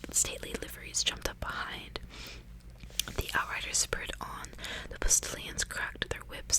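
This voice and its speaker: Woman whispering softly in breathy ASMR. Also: low-quality recording. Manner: casual, rambling, frequent filler "like". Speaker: ASMR host reading a book aloud. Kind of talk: whispered ASMR reading and casual chat. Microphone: close ASMR microphone.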